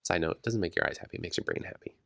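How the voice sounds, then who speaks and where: A man co-hosting a casual late-night podcast at home, talking softly and adding caveats, a bit congested. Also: low-pitched, very fast, vocal fry, no room sound, clean studio sound. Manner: quiet and hedging, laughs easily. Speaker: podcast co-host. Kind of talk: casual chat.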